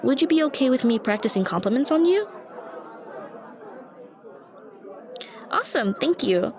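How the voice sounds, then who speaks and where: A woman's voice: sits high, very animated, quick pace. A woman playing a shy, anxious young stranger who rambles hesitantly and self-consciously to the listener in an ASMR roleplay. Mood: awkward, eager. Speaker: roleplay voice actress. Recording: busy cafe chatter behind voice. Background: coffee shop crowd murmur.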